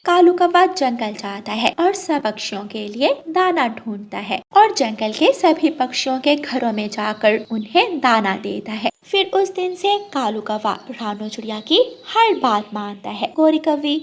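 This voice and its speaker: A woman's voice: fairly high pitch, animated, a little slow, few pauses. A woman narrating a scripted children's cartoon story as the storyteller.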